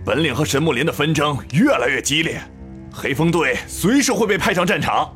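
An arrogant wolf demon soldier for a fantasy audio drama, pitched high and swinging dramatically. He is foul-mouthed and hot-tempered, sneering as he threatens. Music plays softly beneath him. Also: animated, brisk. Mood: angry, demanding. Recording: music under the voice.

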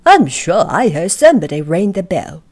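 A woman voicing a friendly, helpful grown man in a storybook reading, with a fuller and louder voice than her narration. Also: lowish voice, big pitch swings, normal speed. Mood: kindly, hearty.

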